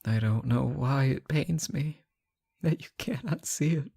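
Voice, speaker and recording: A man playing a lovesick, anguished captive ruler in a fantasy romance audio drama, halting and often dropping toward a whisper. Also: mid-range voice, creaky, mellow, breathy edge. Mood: aching, intense. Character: captive reckless but benevolent male ruler, lovesick and anguished. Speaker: audio roleplay performer.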